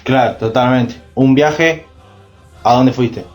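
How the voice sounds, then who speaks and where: A man's voice: mid-range voice, takes it slowly. Argentine male interviewer with music behind. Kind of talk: conversational interview with short acknowledgements.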